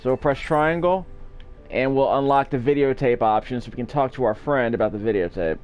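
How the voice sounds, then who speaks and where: A man's voice: medium pitch, fairly quick, darkish tone, clean tone. A man casually commentating on a video game as its host, rambling off into asides. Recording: game music behind. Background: video game music.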